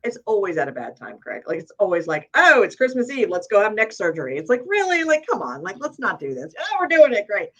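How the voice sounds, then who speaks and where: A middle-aged woman chats as a guest on a talk show, giving upbeat, practical advice. Her pitch sits in the ordinary middle, and she keeps checking in with a quick "right?" as she talks. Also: sing-song, a bit bright. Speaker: real estate agent guest. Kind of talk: casual interview chat.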